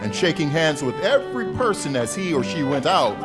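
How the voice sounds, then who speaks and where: A man's voice: fairly high pitch, very animated, fairly quick. A man narrating a scripted radio drama live, in a theatrical storytelling manner. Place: live stage performance. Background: music.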